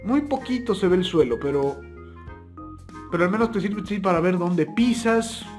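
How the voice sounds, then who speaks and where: A man's voice: high voice, lively intonation, moderate pace, faint music behind. A young man speaking in a casual, chatty, folksy way as he hosts a product-test vlog. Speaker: video host. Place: plain indoor room, also outdoors at night.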